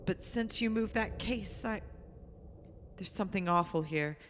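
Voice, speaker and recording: A woman's voice: medium pitch, unhurried, lightly acted. A woman playing a frightened, pleading grown daughter in a melodramatic radio horror play, earnest and dramatic. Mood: pleading, afraid. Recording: reverberant hall with background hiss.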